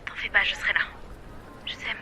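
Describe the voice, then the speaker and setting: A woman's voice: fairly quick, close to an everyday voice. A woman playing a caring, reassuring partner in an audio drama. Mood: concerned, soothing. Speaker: voice actor. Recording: clear noise with clicks.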